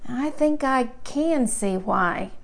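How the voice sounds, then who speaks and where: A woman voicing a curious, literal-minded small boy in a children's storybook, musing in a puzzled, deliberate, childlike way. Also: high voice, animated, creaky, heavily acted. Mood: earnest musing. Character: curious, literal-minded small boy narrating about his great-uncle.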